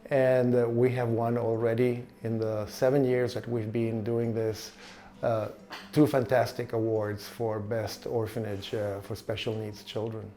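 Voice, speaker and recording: An older man from Chile who runs an orphanage, speaking in a halting, earnest way in a documentary interview. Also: medium pitch, level tone, mellow. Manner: halting, earnest, searching for words. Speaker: orphanage director.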